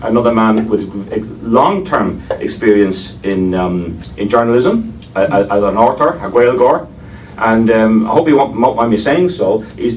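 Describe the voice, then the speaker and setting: An Irish man chairing a panel, introducing speakers with wry asides and many hesitations. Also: mid-range voice. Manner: wry, digressive, with frequent hesitations. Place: lecture room before an audience. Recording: distant mic, faint noise.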